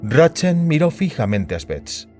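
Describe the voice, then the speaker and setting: A man's voice: fairly high pitch, very animated, measured pace, darkish tone. A man narrating a scripted fiction story as a dramatic audio production. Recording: music bed behind the voice.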